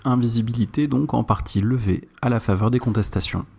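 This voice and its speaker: A man's voice: mid-range voice, brisk, gravelly, a little mellow, slightly rough. A man hosting a podcast, reading scripted links between interview excerpts. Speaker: podcast host.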